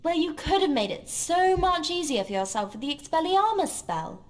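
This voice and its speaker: A woman's voice: extremely high pitch, expressive, normal speed. An actress playing an earnest, bossy, caring schoolgirl witch in a video game. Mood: concerned, instructive. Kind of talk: video game character acting.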